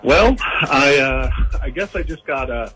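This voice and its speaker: A man with an American accent calling a radio show, telling his story casually and haltingly. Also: medium pitch, expressive, bright, forceful tone, husky, poor audio. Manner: hesitant, halting. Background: music bed.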